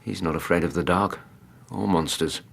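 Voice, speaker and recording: An actor playing a steady, kindly English gentleman companion in a radio drama, gravelly, with measured, dry humour. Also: low-pitched, a little hiss or hum. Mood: reflective. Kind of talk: radio drama acting and narration.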